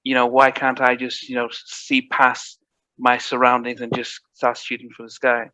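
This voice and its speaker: A man's voice: medium pitch, completely flat delivery, moderate pace, bright, forceful tone, dry recording. A man hosting an interview, encouraging his guest with frequent short affirmations. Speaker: podcast host. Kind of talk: casual interview. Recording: dry, dull lo-fi mic.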